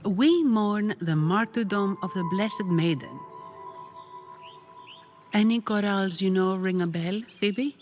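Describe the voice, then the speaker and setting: A woman's voice: mid-range voice, slow, pauses often, a little mellow, soft background music, close to an everyday voice. Curious, level-headed young female game companion played by an actress; middle register, encouraging, practical. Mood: reasoning. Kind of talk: English video game voice acting.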